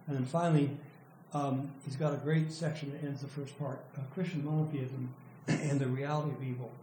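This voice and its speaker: An older man giving an academic lecture, his voice going hoarse from overuse, speaking thoughtfully with halting restarts. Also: a little high, dark tone. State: losing his voice, clearing throat. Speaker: professor presenting a student's work. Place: lecture room. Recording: echoey room with faint noise.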